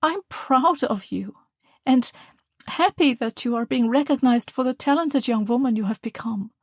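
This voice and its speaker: A woman reading the part of a calm, loving mother, speaking softly and tenderly. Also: a little high, moderate pace, no room sound, studio quality, pitched above the performer's own voice. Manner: soft, tender, reassuring.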